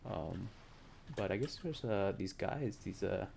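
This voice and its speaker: A man with a very low voice chatting on a live stream while gaming, rambling and hesitant with many fillers. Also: animated, unhurried, gravelly, darkish tone, a little hiss or hum. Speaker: game streamer. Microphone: desk mic.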